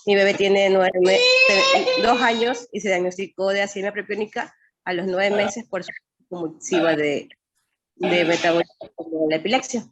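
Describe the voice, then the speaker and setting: A woman introducing herself as a parent on a video call, speaking haltingly. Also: medium pitch, very animated. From Ecuador. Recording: call audio with loud background noise.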